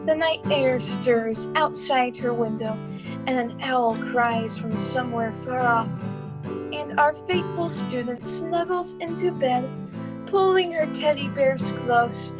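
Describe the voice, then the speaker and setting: A woman playing a storybook narrator, giving measured scripted narration in a radio play. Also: high voice. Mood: calm. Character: storybook narrator closing the tale. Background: loud guitar music.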